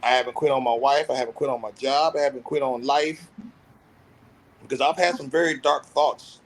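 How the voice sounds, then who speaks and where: A man's voice: mid-range voice, fairly even intonation, brisk, poor audio. A man in his thirties speaking candidly and reflectively about himself in a casual podcast conversation. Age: 30s. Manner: earnest, self-reflective, opens up about feelings. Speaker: podcast panelist.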